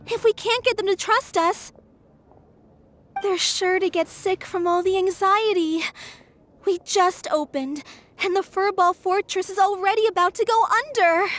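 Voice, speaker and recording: A woman's voice: very high-pitched, a bit bright. A woman voicing an earnest, anxious young woman who rescues animals, speaking politely and eagerly as a game character. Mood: worried. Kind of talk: English game dub voice acting.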